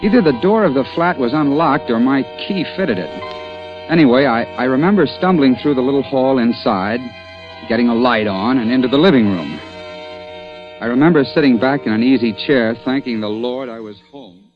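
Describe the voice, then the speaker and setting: A man's voice: medium pitch, normal speed, close to an everyday voice. A stubborn, exasperated man about town played by a male actor in a vintage radio thriller. His mid-range voice is clipped and dramatic, in classic 1940s radio style. The recording is dull, with faint music. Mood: grim recollection.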